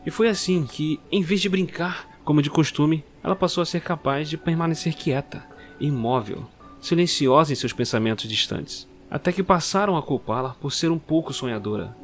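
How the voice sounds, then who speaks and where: Measured male storyteller over music. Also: a little high. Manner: measured, dramatic. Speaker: narrator and producer. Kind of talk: scripted storytelling narration.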